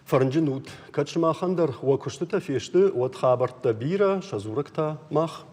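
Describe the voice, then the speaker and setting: A man's voice: a little high, moderate pace, darkish tone, long reverb tail. A man co-hosting a talk show, presenting news items in a conversational style.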